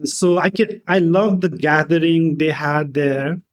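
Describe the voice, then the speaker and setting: Slow-talking man. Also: fairly high pitch. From Nepal. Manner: slow, halting, with fillers. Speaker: guest.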